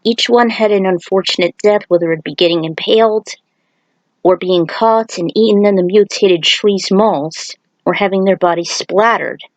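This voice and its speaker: A woman's voice: mid-range voice, moderate pace, dry recording, highs cut off. A woman reading an action story aloud as a narrator, with casual asides. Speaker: fan fiction author reading aloud.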